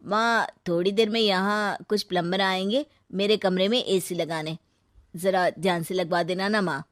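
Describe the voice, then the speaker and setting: A woman's voice: medium pitch, fairly even intonation, moderate pace, no echo, studio quality, lightly acted. A woman voicing a defensive adult son in a family story, explaining in a casual manner.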